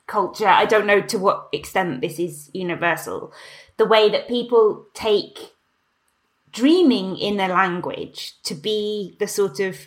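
A woman speaking as an interview guest, thoughtful and searching. She circles her ideas with "you know" and small restarts, now and then breaking into a soft laugh. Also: mid-range voice. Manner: laughs lightly while talking. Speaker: translator and writer, interview guest. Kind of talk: reflective conversational interview.

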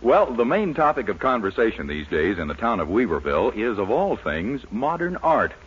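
A man's voice: fairly low pitch, sing-song, normal speed, music in the background. An American man announcing in a scripted 1940s radio style, with emphatic, polished salesmanship. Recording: muffled, rough 1940s radio recording.

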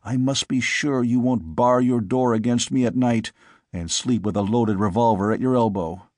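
A man voicing a weary, brooding older father who speaks in a soft, tired, probing way. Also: mid-range voice, normal speed, dry recording, studio quality, lightly acted. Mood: somber.

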